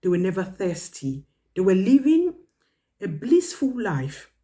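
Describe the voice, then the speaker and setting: Woman, children's storyteller, lively swooping pitch, soft mellow tone, earnest and emphatic, moral teaching.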